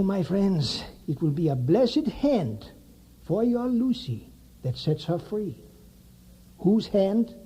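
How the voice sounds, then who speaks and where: A man's voice: high-pitched, warm tone, breathy edge, heavily acted. A man playing a solemn, commanding vampire hunter in a radio drama, with a grave, emphatic, theatrical delivery.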